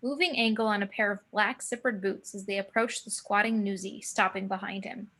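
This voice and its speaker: A woman's voice: mid-range voice, steady tone, brisk, fairly bright tone. A woman giving scripted narration of screenplay action and scene directions at a table read. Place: remote video call.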